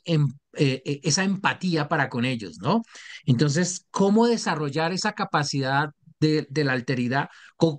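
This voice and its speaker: A man moderating a panel discussion, speaking in a measured, academic way with many fillers. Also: a little high.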